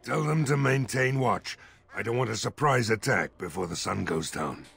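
An actor playing a gruff, weary, skeptical village mayor in a fantasy video game, blunt and grumbling, with a husky voice. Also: male voice, lowish voice, lively intonation, moderate pace, a bit bright, heavily acted. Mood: grim. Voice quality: gravelly, husky.

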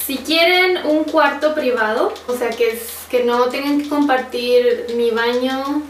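A woman's voice: sits high, a little slow. A young woman with a Mexican accent, casually chatting and laughing often as she answers viewer questions on a sofa at home. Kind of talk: casual Q&A chat. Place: living room, on a sofa. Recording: roomy, slightly distant, faint hiss.

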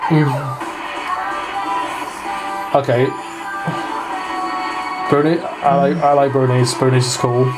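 A man's voice: mid-range voice, little pitch movement, takes it slowly, pauses often, low-quality recording. A man giving casual live reaction commentary, stammering and repeating his phrases. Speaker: reaction video host. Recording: music playing behind the voice.

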